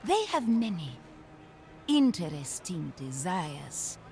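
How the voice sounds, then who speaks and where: Pious temple sister, deliberate and unhurried, expressive pitch, video game cinematic. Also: female voice, slight background noise, exaggerated voice. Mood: reverent, reserved. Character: reverent, restrained priestess follower. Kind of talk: video game cutscene acting.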